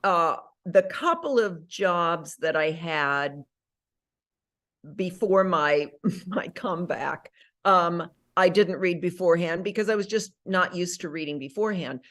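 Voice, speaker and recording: Woman, middle-aged, lower-pitched voice, chatty interview guest, rambling with fillers. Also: unhurried. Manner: chatty, animated, full of "like" and self-corrections. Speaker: actress and audiobook narrator. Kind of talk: casual interview chat.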